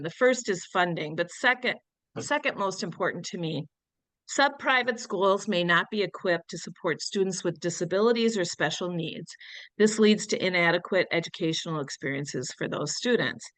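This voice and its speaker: Middle-aged woman candidate, sniffly from a cold, firm and measured, lowish voice, dry close sound. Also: expressive, a bit bright, no echo, poor audio. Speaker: candidate for state senate. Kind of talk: answering questions at a candidate forum.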